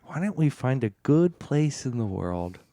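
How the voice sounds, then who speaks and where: A man co-hosting a comedy podcast, chatting casually and deadpan through absurd bits. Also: medium pitch, a little slow, no room sound.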